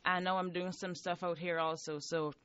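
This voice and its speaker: A young woman in her early twenties from Guyana, a singer guest on a radio interview, soft-spoken and modest. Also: fairly low pitch, flat delivery, moderate pace, smooth, dry recording, highs cut off. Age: early 20s.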